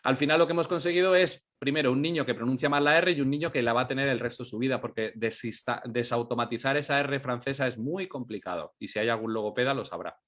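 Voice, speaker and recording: A man's voice: medium pitch, fast, hardly any pauses, a bit bright. A man, a guest teacher answering audience questions in a patient, explanatory way, often restarting and checking that listeners follow.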